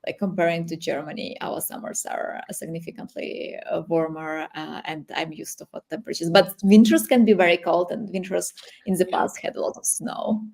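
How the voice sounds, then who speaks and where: Lower-pitched Ukrainian woman, halting and casual. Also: fairly even intonation, gravelly, a little mellow. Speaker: workshop host. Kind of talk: casual chat during a live technical workshop.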